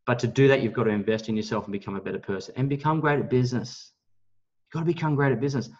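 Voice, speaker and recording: A man's voice: mid-range voice, rapid-fire. Blunt, emphatic man, dull audio. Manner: emphatic, blunt, salesy, mildly profane. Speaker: network marketing leader, guest speaker on a team call. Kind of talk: motivational business pitch.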